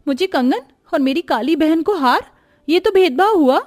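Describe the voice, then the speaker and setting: Cartoon role for a woman: conceited young sister, very high voice, dry sound, scornful and whiny. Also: lively intonation, moderate pace, darkish tone, no echo, faint music behind. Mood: jealous. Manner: taunting, boastful, whiny. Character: vain, haughty young woman mocking her sister.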